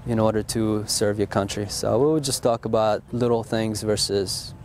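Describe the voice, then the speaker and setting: Flat-voiced young army officer. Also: male voice, fairly low pitch, moderate pace, a little hiss or hum. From born in Russia, raised in Texas. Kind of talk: casual interview answers.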